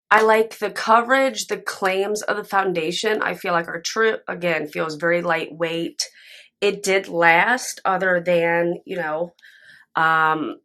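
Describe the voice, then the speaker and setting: A young woman reviewing makeup to camera at home, chatty and candid, her voice raspy and stuffy from a nap. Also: lowish voice. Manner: chatty, candid and expressive, with frequent fillers. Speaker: beauty vlogger. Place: home room with candle and plants, indoors. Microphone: camera in front, sometimes very close.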